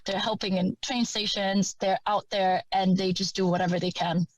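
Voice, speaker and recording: A woman raised in Poland whose first language was Vietnamese, answering interview questions in a measured way with frequent fillers. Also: medium pitch, little pitch movement, a little hiss or hum, low-quality recording. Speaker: guest. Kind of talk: conversational interview.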